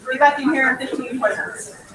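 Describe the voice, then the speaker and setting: A woman making brisk, practical announcements to a room. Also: high voice, fairly even intonation, over a noisy crowd. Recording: echoey hall, voice from far off.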